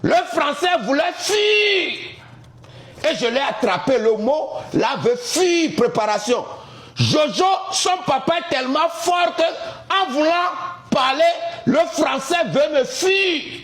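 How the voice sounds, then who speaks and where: A man, ranting dramatically in a sing-song, shouting way. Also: very high-pitched, animated, slow. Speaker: TikTok commentator in a played clip. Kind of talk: excited preaching-style rant. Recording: roomy echo.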